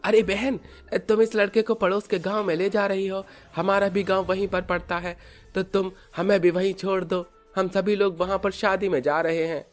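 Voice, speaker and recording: A man's voice: sits high, level tone, normal speed, faint music behind, close to an everyday voice. A man voicing a worried male villager in a cartoon story, speaking earnestly. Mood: friendly. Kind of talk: cartoon voice acting.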